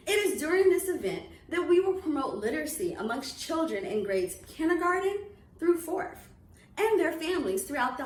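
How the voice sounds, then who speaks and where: A woman presides as host and introduces her guest in an upbeat, welcoming way. Her voice sits fairly high and moves up and down a great deal. The sound carries the echo of a roomy space. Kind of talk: hosting an online reading series interview.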